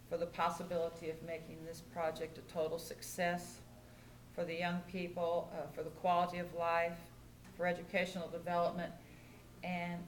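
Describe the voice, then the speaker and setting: An older American woman, a mayor chairing a public meeting and making informal remarks. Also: lowish voice. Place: indoor council meeting room. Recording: echoey meeting room with background noise.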